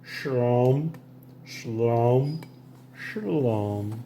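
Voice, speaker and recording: A man reading a children's story aloud in a playful, animated way, leaning on the sound words. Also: mid-range voice, extremely slow, slight background noise. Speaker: storybook reader.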